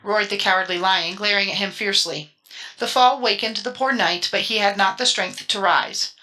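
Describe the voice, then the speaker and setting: A woman's voice: medium pitch, steady tone, normal speed, sharp tone, low-quality recording. A woman reading a children's storybook as a dramatic audiobook narrator.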